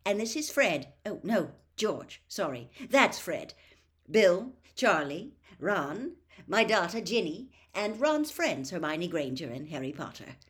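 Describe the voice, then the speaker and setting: A woman voicing a mild, cautious middle-aged wizard father, polite and gently hesitant, in an audiobook. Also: fairly low pitch, sing-song, slow pace, full character voice. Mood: genial. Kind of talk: audiobook character voice.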